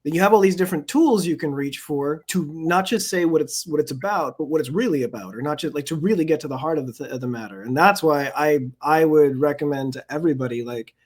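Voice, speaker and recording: Higher-voiced man, casual and thoughtful. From Canada. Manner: casual, fillers and restarts, reads poems in measured cadence. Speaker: poet and podcast guest. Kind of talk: conversational interview and poetry reading.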